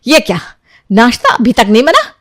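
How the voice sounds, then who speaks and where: A woman's voice: a little high, wildly swooping pitch, moderate pace, a bit bright, no echo, clean studio sound, full character voice. A woman voicing a strict, domineering older mother-in-law in a cartoon, scolding harshly. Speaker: cartoon voice actor. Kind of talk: Hindi cartoon dubbing.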